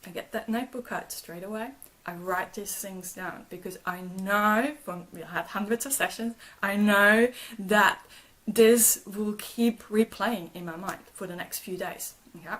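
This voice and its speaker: Lady chatting on a live stream, soft and reassuring, keeps restarting her sentences, medium pitch. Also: measured pace, poor audio. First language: German. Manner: gentle and reassuring, with frequent restarts and repeated words. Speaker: trauma therapist and channel host. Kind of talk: live-stream talk answering viewer comments.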